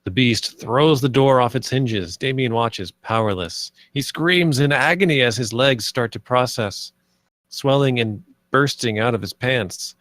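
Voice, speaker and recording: A man narrates the action of a film script at a table read. His voice is mid-pitched for a male, in a very dry recording with scripted phrasing. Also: a little slow, fairly bright tone, dry recording. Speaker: narrator reading stage directions.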